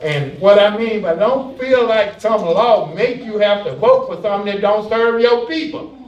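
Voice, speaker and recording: A man's voice: high-pitched, lively intonation, normal speed. A man moderating a candidate forum in a loose, chatty, joking way. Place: community recreation center hall. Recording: echoey hall from far off, dull.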